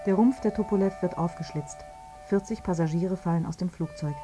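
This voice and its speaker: An actress playing a detached, factual narrator reading out an accident report in a radio drama. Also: female voice, fairly low pitch, a little fast, music in the background, lightly acted. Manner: factual, precise.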